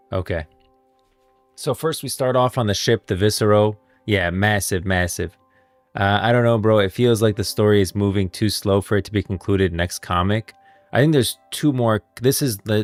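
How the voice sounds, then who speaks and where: Male gaming lore streamer, low voice, casual and rambling, close dry sound over background music. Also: no echo. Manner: rambling, thinking aloud. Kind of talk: casual livestream commentary on game lore.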